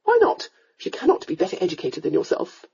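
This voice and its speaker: A woman's voice: huge pitch swings, fairly quick, darkish tone, slightly rough, lo-fi sound, full character voice. A woman voicing a fussy, domineering countess scolding her daughter in a storybook reading. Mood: scheming. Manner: scolding, shrill, melodramatic.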